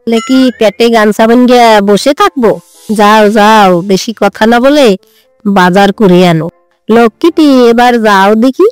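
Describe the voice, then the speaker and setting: Woman playing a clear-voiced bossy mother-in-law. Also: fairly high pitch, moderate pace, dry recording, lightly acted. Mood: nagging. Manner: nagging, coaxing. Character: bossy, doting older village mother-in-law. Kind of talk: comedy cartoon voice acting. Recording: music behind the voice.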